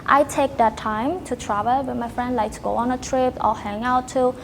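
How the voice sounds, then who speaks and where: High-voiced young Cambodian woman, halting speech. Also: steady tone, slight background noise. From Cambodia, Battambang province. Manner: halting, searches for words in non-native phrasing. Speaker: podcast guest, teacher and student. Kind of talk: casual interview answers.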